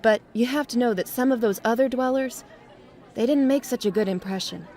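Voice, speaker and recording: A woman's voice: on the high side, fairly quick, a little mellow, some chatter behind, close to an everyday voice. An actress playing a friendly, hospitable settlement woman in an audio drama, welcoming and lightly teasing. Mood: friendly, advising.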